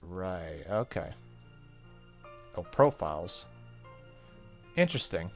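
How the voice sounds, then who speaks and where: Deep-voiced man doing a game let's play. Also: sing-song, slow. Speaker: gaming YouTuber. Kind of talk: let's play, reading game text aloud with casual comments. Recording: faint game music behind voice.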